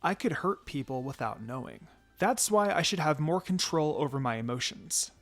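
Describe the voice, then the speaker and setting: A man's voice: on the high side, animated, moderate pace. A man reading a first-person story aloud in a dramatized narrating style, switching between telling and dialogue. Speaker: fan fiction reader. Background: background music.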